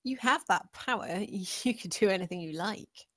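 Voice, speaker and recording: A woman's voice: medium pitch, normal speed, no echo, close to an everyday voice. Woman acting a demure, coaxing seductress, dry sound. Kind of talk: amateur screenplay table read.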